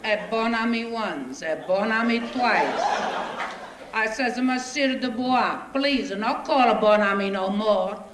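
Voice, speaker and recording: A woman's voice: on the high side, animated, slow pace. A woman doing a chatty Italian immigrant woman. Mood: indignant comic. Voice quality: broken English, thick put-on accent. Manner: indignant, storytelling, quoting others. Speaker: stand-up comedian. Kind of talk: comic character monologue. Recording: echoey stage with audience noise. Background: audience laughter.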